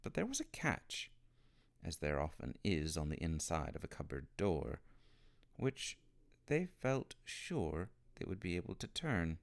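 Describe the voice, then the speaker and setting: A man reading a children's novel aloud as an expressive storybook narrator. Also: sits low, measured pace, vocal fry. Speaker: storybook reader.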